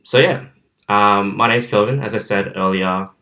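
A man's voice: fairly low pitch, moderate pace, bright, forceful tone. A man of about twenty-one with an Australian accent, chatting on his own podcast from his bedroom in an outgoing way with many fillers. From southwestern Sydney, Australia. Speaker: podcast host. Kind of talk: casual solo podcast monologue. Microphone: camera, filmed.

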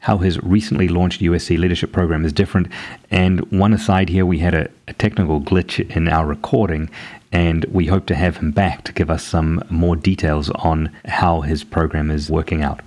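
A man's voice: low voice, little pitch movement, normal speed, darkish tone. A man co-hosting a podcast, introducing the guest and asking questions in a friendly tone. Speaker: podcast co-host.

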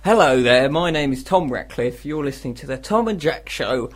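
A man's voice: mid-range voice, lively intonation, moderate pace, soft background music. An English man co-hosting a radio show with casual, comedic, grumbling banter in a studio. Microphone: studio microphone.

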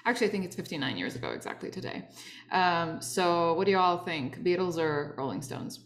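Woman, podcast host, somewhat low pitch, chatty, laughs a lot. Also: expressive, brisk. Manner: laughs often, friendly and chatty. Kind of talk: casual chat.

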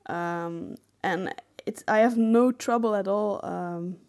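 A woman with a medium-pitched voice chats as a podcast co-host. Her delivery is casual and conversational, as in an informal discussion. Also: takes it slowly, darkish tone.

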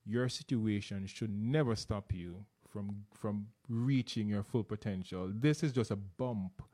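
Jamaican man, middle-aged, interview guest; low mellow voice, sincere and halting. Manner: earnest, repeats words while searching for them. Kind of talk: casual interview.

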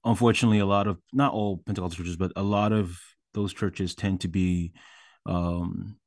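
A man's voice: deep voice, fairly even intonation, normal speed, a little mellow, dry recording, clean studio sound. A man from Ghana, a podcast guest, chatting animatedly and emphatically, often restarting his sentences. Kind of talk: casual interview chat.

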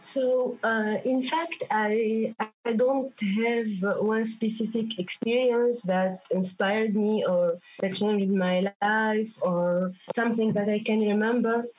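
A woman's voice: mid-range voice, steady tone, slow pace, hardly any pauses, darkish tone. A young woman from Tunisia answering interview questions, hesitant, restarting and repeating words as she searches for them. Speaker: guest activist. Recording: dull lo-fi remote call audio.

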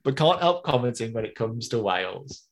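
A man's voice: medium pitch, normal speed, a little hiss or hum. A man, co-hosting a podcast in casual chat, reading listener mail and laughing easily. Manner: relaxed, chatty, often laughing. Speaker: podcast co-host.

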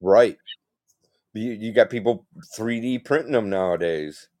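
A man's voice: lowish voice, a little slow, darkish tone, dry recording, studio quality. A man co-hosting a casual podcast chat, mostly chiming in with short agreeing remarks.

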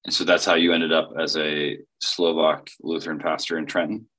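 A man hosting a casual interview, halting and restarting his questions. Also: very deep voice, flat delivery, a bit bright. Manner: halting, many fillers and restarts. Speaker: podcast host.

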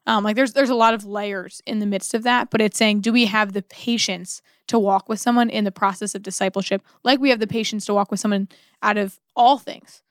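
A woman's voice: mid-range voice, fairly even intonation, fairly quick, no room sound, clean studio sound. A woman co-hosting a podcast, talking earnestly and conversationally about faith, often posing rhetorical questions and voicing imagined quotes. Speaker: podcast co-host. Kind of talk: conversational religious discussion.

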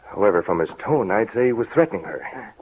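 A fearless, commanding gentleman investigator in an old radio mystery. His voice is low and self-assured, heard through a muffled recording with clear background noise. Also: sing-song, fast talker. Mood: determined. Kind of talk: 1930s radio mystery acting.

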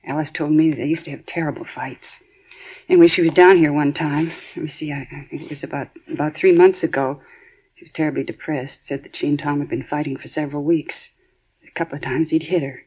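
Fretful woman, husky and gravelly, halting speech, old lo-fi radio sound. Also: deep voice, steady tone, darkish tone. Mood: distraught. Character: anxious, fretful middle-aged woman. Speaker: victim's sister. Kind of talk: 1950s radio drama acting. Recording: dull lo-fi 1950s radio broadcast.

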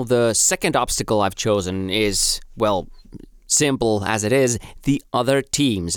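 A man's voice: fairly low pitch, no echo, clean studio sound. A man who speaks Finnish as his first language, eagerly giving his views as a podcast guest. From Finland. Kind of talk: podcast commentary.

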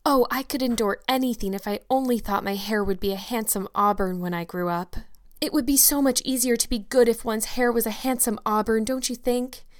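A woman's voice: a little high, a little fast, close to an everyday voice. A woman voicing an imaginative, talkative young orphan girl in a storybook reading, effusive and earnest. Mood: hopeful.

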